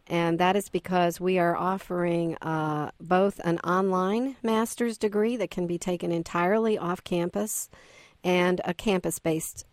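A woman who directs a university program, guesting on a radio talk show and explaining in a measured way with frequent hesitations. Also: fairly low pitch, a little mellow, no room sound. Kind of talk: radio interview, academic explanation.

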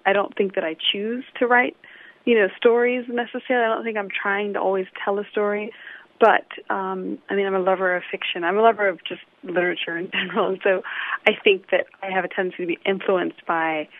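A woman's voice: medium pitch, dry recording. Young woman on a phone line. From Illinois, United States. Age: young adult. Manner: thoughtful, hesitant with many ums. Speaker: musician guest. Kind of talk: phone interview. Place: New York City.

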